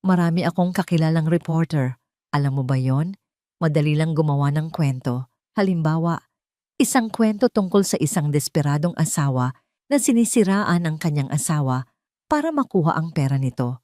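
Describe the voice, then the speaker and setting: A woman voicing a smug, menacing mistress in an audio drama, taunting in a sweet, mock-gentle way. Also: low voice, lively intonation, moderate pace, no echo, clean studio sound. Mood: cold threat. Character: smug, menacing mistress making veiled threats.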